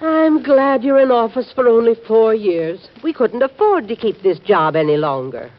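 A woman's voice: a little high, animated, normal speed, soft background music, close to an everyday voice. A woman playing a statesman's prim, skeptical wife with a dry, reproachful manner in an old-time radio drama. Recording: dull old radio recording, faint noise.

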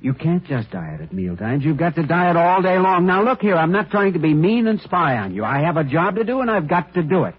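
An American actor playing a firm but kindly doctor in classic radio drama, with a brisk, authoritative manner. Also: male voice, fairly high pitch, sing-song, slightly rough. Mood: firm, insistent. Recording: dull lo-fi old radio recording.

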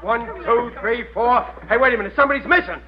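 A man's voice: very high-pitched, quick pace. An American actor playing a middle-aged, dim-witted but good-natured working-class family man, wisecracking and blustering in a classic radio comedy. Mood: frantic. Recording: noisy, muffled vintage radio recording.

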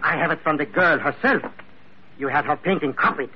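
An actor playing a cold, cruel gang boss villain, stern and commanding with clipped speech, in an old radio drama. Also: male voice, on the high side, brisk, lo-fi sound, noisy background, close to an everyday voice. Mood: cold, accusing. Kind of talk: 1950s radio drama acting.

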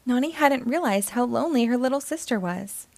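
A woman narrates a children's story in a fairly high voice with very lively, swooping intonation. She reads expressively, as a storyteller for young listeners, and the sound is dry and close. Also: fairly quick, vocal fry, dry recording. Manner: animated, acting out dialogue. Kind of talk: expressive read-aloud storytelling.

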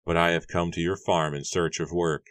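Polite eager young farmhand, a man with a very deep voice doing him, dry recording. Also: flat delivery, normal speed, a bit bright, dry recording. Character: confident, cheerful young farmhand.

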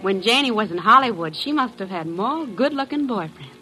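A tipsy, catty flirt in vintage radio drama. Her voice is bright and very animated, with coy, teasing turns of phrase. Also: medium pitch, fairly quick, soft background music, rough recording. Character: flirtatious, tipsy, catty female party guest. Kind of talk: old-time radio drama acting.

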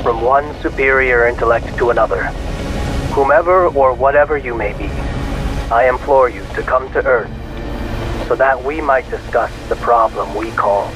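A man playing a self-important corporate tycoon in an audio drama, polished, measured and pompous in his speech. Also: medium pitch, close to an everyday voice, low-quality recording. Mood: formal, inviting.

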